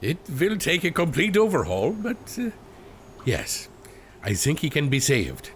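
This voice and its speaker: A fussy, tender-hearted German inventor acted by a man in an adventure audio play. The German-accented speech swings widely in pitch, with slight mechanical noise behind it. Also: huge pitch swings, unhurried. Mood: earnest. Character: male German robot inventor, fond and fussy. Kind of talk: audio drama acting.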